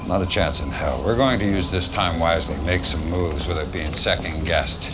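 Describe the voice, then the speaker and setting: A man's voice: deep voice, normal speed, lightly acted. An actor playing a blunt, weary general briefing his commander in a video game cutscene. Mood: determined. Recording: dull lo-fi audio, clear background noise.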